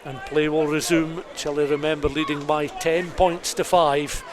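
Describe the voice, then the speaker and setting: A man's voice: fairly high pitch, flat delivery, moderate pace. A man giving detailed, descriptive live play-by-play rugby commentary for radio. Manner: descriptive, detailed scene-setting. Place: rugby stadium. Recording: crowd noise behind live outdoor commentary. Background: stadium crowd.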